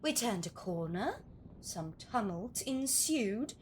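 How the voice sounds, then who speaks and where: An actress playing a fussy, genteel rich heiress who talks in a posh, gossipy way. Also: female voice, mid-range voice, animated, slow pace, slight background noise. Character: prim, fussy rich heiress, adult woman. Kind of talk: audio drama acting.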